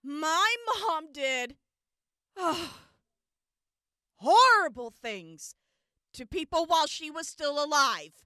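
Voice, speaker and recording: An actress plays an earnest young woman medic from a raider clan, testifying in an audio drama. Her voice is high and very expressive, with a slow, deliberate delivery. The recording is close and dry. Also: no room sound, heavily acted. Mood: sorrowful, candid.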